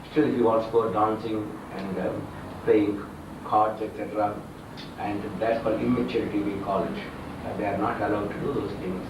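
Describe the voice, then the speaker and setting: A man with an Indian accent giving a religious discourse as a swami at a ceremony, teaching in halting, simply worded phrases. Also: lowish voice. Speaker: swami, spiritual teacher officiating a wedding. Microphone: far from the speaker. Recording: very echoey room, distant mic, noisy.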